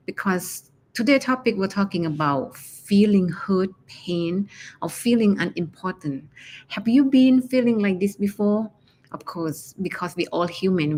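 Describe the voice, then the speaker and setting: A woman from Thailand with a Thai accent, speaking as a life coach in a solo motivational talk, pausing often and searching for words. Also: lowish voice, a little hiss or hum. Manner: hesitant, searching for words, many fillers.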